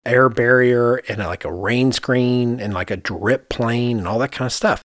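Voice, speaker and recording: A man's voice: mid-range voice, a little slow, dry recording, studio quality. A man co-hosting a podcast as an architect, talking casually and thinking aloud, often voicing his own reasoning as quoted asides. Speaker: podcast host.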